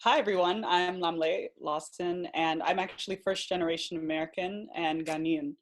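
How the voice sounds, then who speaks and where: A woman in her twenties introducing herself as a panelist in a relaxed way. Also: fairly low pitch, moderate pace.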